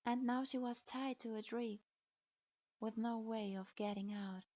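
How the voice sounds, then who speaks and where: A woman reading a dark fanfiction story aloud as its narrator, in a steady, even, scripted manner. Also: on the high side, a little slow, dry recording. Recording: dull dry lo-fi recording.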